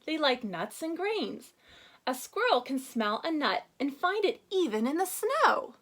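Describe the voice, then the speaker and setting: A woman teacher giving a reading lesson to young children, prompting them with questions and reading aloud with expressive emphasis. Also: high voice, unhurried. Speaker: first-grade teacher.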